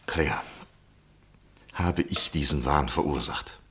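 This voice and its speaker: An actor in a 1960s German radio play voicing an obsessed, evasive gentleman biographer, restless, questioning and self-justifying. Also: rumbling low, slow pace, slightly rough, full character voice. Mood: troubled, obsessive. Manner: brooding, questioning, hesitant.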